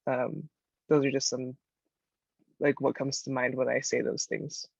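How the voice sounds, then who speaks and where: A man from the United States moderating a virtual Q&A, asking an earnest, halting question over a video call. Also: medium pitch, flat delivery, gravelly, a little mellow, no echo. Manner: earnest, halting, filler-heavy. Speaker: event moderator. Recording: dull muffled audio.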